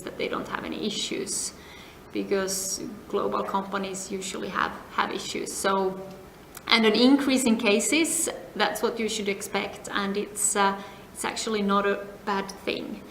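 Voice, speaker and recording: Middle-aged woman, from Finland, measured, fading phrase ends, gravelly, echoey room, faint background noise. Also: mid-range voice, a bit bright. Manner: measured, frequent "uh" pauses, trailing off to a near whisper. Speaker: interviewee, compliance advisor. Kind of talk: thoughtful interview answers. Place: seminar venue.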